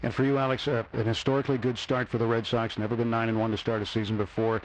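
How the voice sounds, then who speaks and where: A man's voice: medium pitch, flat delivery, quick pace, a little hiss or hum, low-quality recording. A man working as a sports radio broadcaster, interviewing and reading sponsor credits. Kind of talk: pre-game radio interview and sponsor reads.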